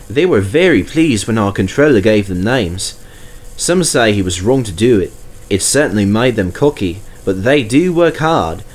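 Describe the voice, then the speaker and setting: A man's voice: medium pitch, close to an everyday voice. A man voicing a friendly vintage steam engine, chatty and earnest, in a storybook.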